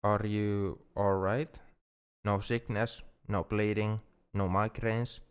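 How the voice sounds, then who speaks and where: A smooth, lowish voice belongs to a shy alien in love , played by a man. He speaks very slowly and gently, halting and repeating himself with awkward tenderness. It is an intimate ASMR roleplay. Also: flat delivery. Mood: halting, caring.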